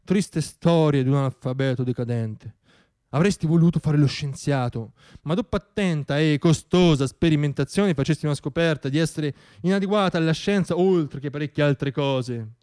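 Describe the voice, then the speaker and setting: A man performing a theatrical radio monologue, telling a story in a wry, ironic way with dramatic pauses and swells. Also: fairly high pitch, darkish tone, smooth.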